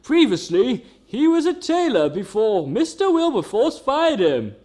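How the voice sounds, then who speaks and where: A man's voice: very high voice, sing-song, unhurried, a little mellow. A man delivering scripted comic narration in a mock-serious storytelling manner. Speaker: narrator of a comedy show.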